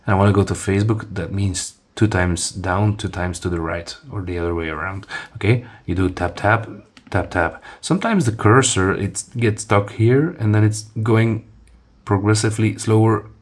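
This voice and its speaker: Casual male reviewer, Dutch first language, lowish voice, halting, full of restarts. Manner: rambling, many restarts and fillers, demonstrating as he talks. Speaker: phone reviewer. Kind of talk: casual hands-on product review.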